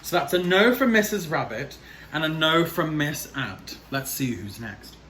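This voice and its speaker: A man's voice: on the high side, lively intonation, measured pace, fairly bright tone. A man reading a children's picture book aloud as a drag storyteller, theatrical and chatty, speaking straight to young viewers. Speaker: storyteller, drag queen host. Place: small plain room indoors. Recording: echoey room, light background noise.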